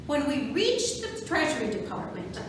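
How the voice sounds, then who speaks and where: Woman acting a high-pitched proud suffragist, echoey room. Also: wildly swooping pitch, normal speed. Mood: proud, grand. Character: proud, theatrical suffragist woman who organized a parade. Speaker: volunteer reader in a museum reading play. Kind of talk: amateur reader's theatre monologue. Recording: echoey, noisy room recorded from far off.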